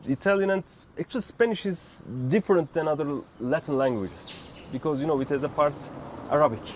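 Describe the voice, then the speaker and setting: Young turkish-accented man, street interview, loose halting answers, faint traffic behind. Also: on the high side. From Turkey. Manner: loose, halting, many fillers.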